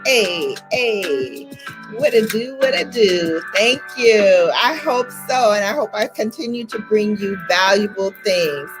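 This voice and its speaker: Chatty Brooklyn woman, lively pitch. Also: slow pace, rough recording. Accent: Brooklyn New York. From Brooklyn, New York. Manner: chatty, laughs often, greets viewers by name. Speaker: livestream host. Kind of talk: casual livestream chat with viewers. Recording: faint music behind.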